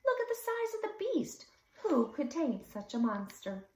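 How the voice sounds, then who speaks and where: A woman's voice: high-pitched, big pitch swings, a little slow, darkish tone, roomy sound, voice pushed up. A woman voicing a grumpy, scornful older uncle, a tiny man in a children's storybook, with a blustering, dismissive manner. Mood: incredulous.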